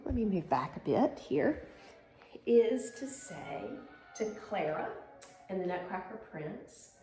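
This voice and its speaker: A woman's voice: medium pitch, gravelly. A woman giving an instructional dance lesson on video, explaining simply step by step and prompting pupils with encouragement. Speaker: dance teacher. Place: indoor room at home. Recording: very echoey room.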